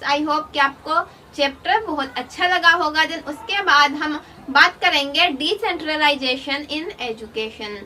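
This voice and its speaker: A woman's voice: high voice, sharp tone. A woman teaching an online lesson, explaining step by step and mixing English terms into her Hindi. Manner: reads article titles slowly, then explains. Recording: distant mic with faint noise.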